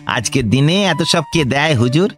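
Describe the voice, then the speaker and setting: An actor playing a wily village grain trader who flatters and pleads long-windedly in a radio comedy. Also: male voice, on the high side, moderate pace, faint music behind, natural voice. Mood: fawning. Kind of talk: Bengali radio comedy drama acting.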